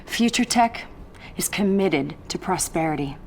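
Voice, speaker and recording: A woman's voice: on the low side, lively intonation, a little slow, a little mellow, slight background noise. An actress playing a polished, evasive corporate spokeswoman delivering a formal, reassuring press statement in a video game. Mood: placating.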